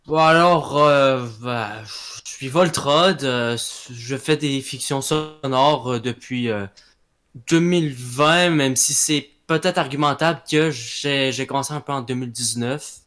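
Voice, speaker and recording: A young man from Quebec with a Québécois French accent, a guest in a casual interview, talking with many pauses and restarts. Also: medium pitch, a bit bright. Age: young adult. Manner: hesitant, many fillers and restarts.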